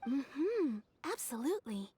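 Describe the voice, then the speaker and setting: A woman's voice: sits high, sing-song, measured pace, noisy background. A woman playing a courteous, earnest shopkeeper in a video game, explaining things politely. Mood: pleased. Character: polite, eager female kimono shopkeeper.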